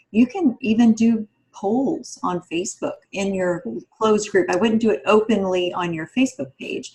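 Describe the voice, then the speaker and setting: Woman panelist with a medium-pitched voice. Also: a little mellow, low-quality recording. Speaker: podcast panelist, writing mentor. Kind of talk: casual podcast advice.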